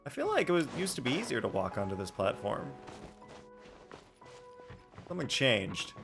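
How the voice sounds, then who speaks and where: A man's voice: medium pitch, huge pitch swings, moderate pace, creaky. A man streaming a video game, giving casual running commentary as he plays. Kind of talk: casual live gameplay commentary. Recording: game music behind.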